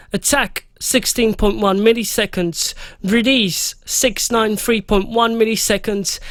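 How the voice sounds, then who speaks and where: A man with a slightly hoarse, fairly high voice talks through a mixing tutorial in a loose, chatty way. He reads out numbers between casual fillers. The sound is close and dry, like a home studio mic. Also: fairly bright tone, no room sound. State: slightly hoarse, losing his voice. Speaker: music producer teaching a mixing tutorial. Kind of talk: casual screen-recorded software tutorial.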